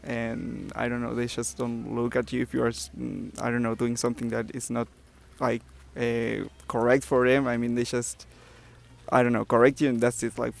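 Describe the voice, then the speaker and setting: A young man from Argentina with an Argentine accent, answering street interview questions softly and hesitantly. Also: mid-range voice, flat delivery, moderate pace, gravelly. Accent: Argentine Spanish. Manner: soft, laughs easily, hesitant.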